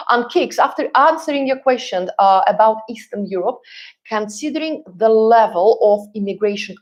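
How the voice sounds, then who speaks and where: A woman in her thirties whose first language is Russian hosts a live stream and answers viewers' questions. She talks at a middling pitch, chatty and loose, often pausing on fillers and restarting her sentences. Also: dull audio. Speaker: relocation consultant and host.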